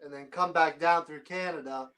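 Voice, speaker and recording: A man's voice: on the high side, steady tone, moderate pace, sharp tone. A man who is a guest on a casual chat, answering in a hesitant way with many "um"s.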